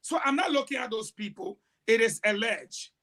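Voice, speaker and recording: High-voiced man. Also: normal speed. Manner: animated storytelling, emphatic broken sentences. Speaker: panelist. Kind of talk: heated panel discussion.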